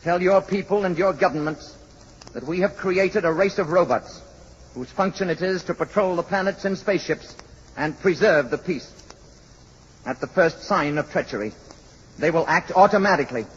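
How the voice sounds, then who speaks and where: An actor playing a grave, commanding alien emissary who speaks in a measured, formal way in a 1950s radio drama. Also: male voice, high voice, husky. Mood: solemn warning. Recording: muffled, noisy vintage radio recording.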